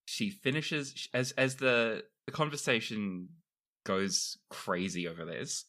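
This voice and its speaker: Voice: mid-range voice, measured pace, pushed and bright. A game master bantering and narrating in an improvised tabletop role-playing session.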